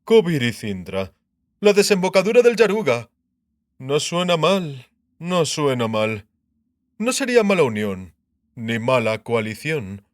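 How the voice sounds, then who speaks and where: A man's voice: on the high side, big pitch swings, dry recording, studio quality. A man voicing a shrewd, grumbling king and sardonic husband in an audiobook, musing aloud. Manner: musing aloud, muttering, drawn-out syllables. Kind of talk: dramatised audiobook character acting.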